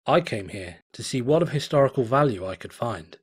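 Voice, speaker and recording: A wary, bewildered stallion explorer speaks in plain, halting words, as a man reading the story puts him on. His voice is husky and sits at a middle pitch. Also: lively intonation. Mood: bemused. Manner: hesitant, plain-spoken. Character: cautious, puzzled stallion explorer.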